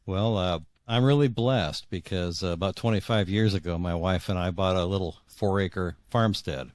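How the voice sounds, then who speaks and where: Lowish-voiced American man, halting and conversational. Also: darkish tone, no echo. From northwest Iowa, United States. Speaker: podcast guest, fiction author. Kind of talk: casual conversational interview answers.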